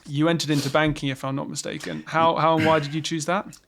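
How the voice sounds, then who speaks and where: A man's voice: a little high, level tone, quick pace, vocal fry, a bit bright, a little hiss or hum. A man hosting a podcast, asking questions in a friendly, conversational way. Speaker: podcast host. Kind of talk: podcast interview.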